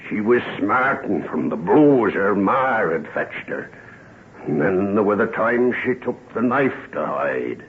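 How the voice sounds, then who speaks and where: Gossipy West Country rustic, swooping intonation, radio drama storyteller, thin phone-like sound, hiss. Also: male voice, lowish voice, very animated, slow pace. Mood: grave. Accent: English West Country. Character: gossipy rustic English villager, a man, full of dark tales. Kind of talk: old-time radio drama acting, storytelling. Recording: tinny, hissy old radio drama recording.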